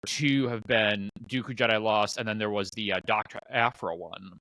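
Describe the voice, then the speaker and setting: A man's voice: fairly low pitch, steady tone, normal speed, pushed and bright, no room sound, low-quality recording. A man co-hosting a podcast, chatting casually in an agreeable, easygoing way. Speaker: podcast co-host.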